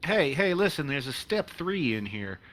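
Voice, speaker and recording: A wry, sarcastic young-at-heart spaceship officer acted by a man in a sci-fi comedy play. His voice sits a little high and swings widely. It is bright and animated, with jittery asides. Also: normal speed. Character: jittery, sarcastic male spaceship weapons officer, easily awed.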